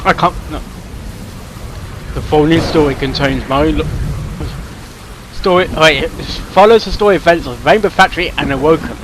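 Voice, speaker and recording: Higher-voiced man reacting casually. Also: normal speed, pauses often, background noise. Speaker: host of a reaction channel. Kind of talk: casual live reaction commentary.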